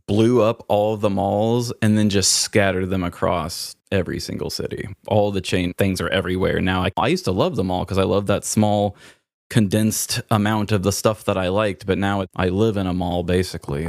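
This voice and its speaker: A man's voice: deep voice, steady tone, moderate pace, vocal fry, a little mellow, dry recording, clean studio sound. An American man from the Midwest hosting a podcast with mock-grandiose, sarcastic comedy delivery. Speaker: podcast host. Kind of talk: scripted comedic monologue and banter.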